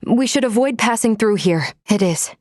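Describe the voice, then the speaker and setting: A woman voicing a courteous, analytical female android companion in a video game, speaking in a formal, measured, precisely worded way. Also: fairly high pitch, animated, darkish tone, dry recording, studio quality, close to an everyday voice. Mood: calm, alert.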